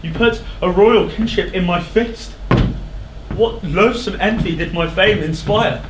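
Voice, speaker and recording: A man's voice: high voice, measured pace. An actor playing a proud, hot-tempered king in a stage verse drama, declamatory and scornful. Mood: bitter accusing. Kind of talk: theatrical verse stage acting. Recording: echoey theatre stage recording, faint noise.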